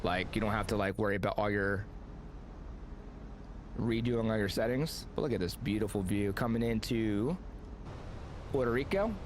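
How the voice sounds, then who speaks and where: A man's voice: fairly low pitch, moderate pace, long pauses, a little hiss or hum. A man streaming a flight simulator, chatting casually and rambling with lots of fillers. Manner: rambling, filler-heavy, excitable. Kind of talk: casual gameplay commentary.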